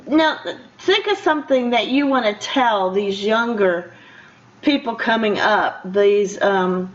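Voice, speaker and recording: An older woman hosting a casual radio interview in a chatty, conversational way, quick to laugh. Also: a little high. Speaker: radio show host. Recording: dull, lo-fi radio interview audio.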